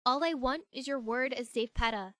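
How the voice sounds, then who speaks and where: A woman plays a young woman with a fairly high voice in a fan audio drama. She is earnest, friendly and newly assertive, talking in an emphatic everyday way. The sound is close and dry. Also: moderate pace, a bit bright, dry recording. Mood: firm.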